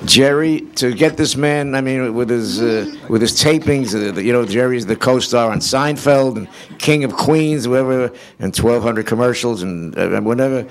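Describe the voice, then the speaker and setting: A man speaks at a middle pitch as a panel host. He introduces and questions his guests casually in front of a live audience. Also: moderate pace. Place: convention hall stage before an audience.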